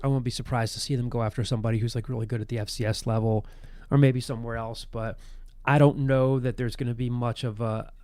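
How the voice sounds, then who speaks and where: A man in his thirties hosts a chatty podcast, his voice hoarse as he gets over a cold. He tells rambling, self-deprecating stories at a middling pitch. The sound is close and dry, with no room around it. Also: steady tone, fast, mellow, no room sound. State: losing his voice after being sick. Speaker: podcast host. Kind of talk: casual podcast chat.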